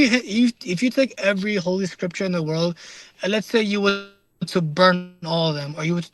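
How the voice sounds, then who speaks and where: Young male debater with a high voice and dry sound; earnest and casual, talks in restarts and keeps asking "right?". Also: fairly bright tone, no room sound, rough recording. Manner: casual slang, calls listener "bro".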